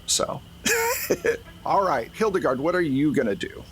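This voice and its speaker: A man's voice: on the high side, lively intonation, measured pace, a little hiss or hum, rough recording. A man speaking as game master, narrating a tabletop game in a casual way.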